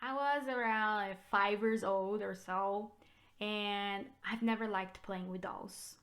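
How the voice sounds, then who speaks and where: Slow, bright-voiced Brazilian woman. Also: mid-range voice, steady tone. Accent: Brazilian Portuguese. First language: Portuguese. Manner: unhurried, reflective storytelling. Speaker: realtor and channel host. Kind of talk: personal vlog talk to camera.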